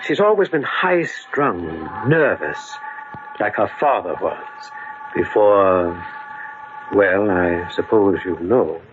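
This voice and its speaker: Grave family doctor, noisy old radio. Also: male voice, sits low, expressive, takes it slowly, narrow, tinny audio. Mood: concerned. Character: concerned, matter-of-fact family doctor, long-time acquaintance. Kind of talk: 1940s radio acting.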